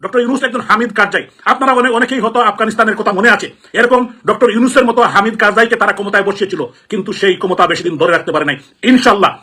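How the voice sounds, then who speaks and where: A man with a high, sharp-edged voice and a Bangladeshi accent delivers an impassioned political address. He speaks forcefully and indignantly, hammering his points through repetition like an elected politician appealing to the public. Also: fast talker, few pauses. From Sylhet, Bangladesh.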